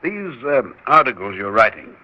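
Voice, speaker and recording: A persuasive research doctor. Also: male voice, medium pitch, sing-song, moderate pace. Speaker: scientist. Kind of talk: 1960s radio drama acting. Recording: dull old radio recording.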